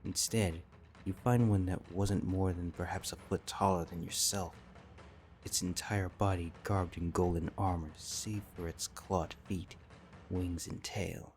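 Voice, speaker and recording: A man with a deep, low voice narrates a fantasy tale. He reads from a script in the second person, as a storyteller, over faint background music. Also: fairly even intonation, measured pace, darkish tone.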